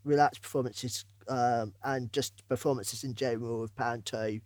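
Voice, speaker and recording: A man's voice: mid-range voice, fairly even intonation, moderate pace, a little mellow, no room sound, studio quality. A man hosting a casual podcast interview, informal and ready with his own opinions.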